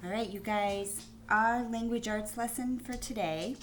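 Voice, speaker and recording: A woman schoolteacher giving a phonics lesson to young pupils on video, explaining deliberately and spelling things out. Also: fairly high pitch, steady tone, slight background noise. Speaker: primary school teacher.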